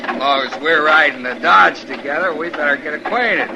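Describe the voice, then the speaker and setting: An actor playing a pushy, menacing frontier rowdy with an insolent American drawl, in old radio western style. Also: male voice, fairly high pitch, moderate pace, natural voice. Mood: leering, insistent. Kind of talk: 1950s radio western acting. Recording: noisy old radio drama recording.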